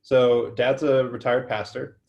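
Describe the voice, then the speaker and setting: A young man with a slight New Zealand accent, a company founder talking in a relaxed, plainspoken way as an interview guest on a video call. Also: mid-range voice, measured pace, creaky, highs cut off. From United States. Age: young adult. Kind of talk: casual interview.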